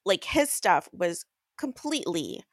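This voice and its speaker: A woman's voice: on the low side, very animated, measured pace, dry recording, clean studio sound. A woman co-hosting a podcast, chatting casually about books. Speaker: co-host of a book recap podcast. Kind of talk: casual book chat.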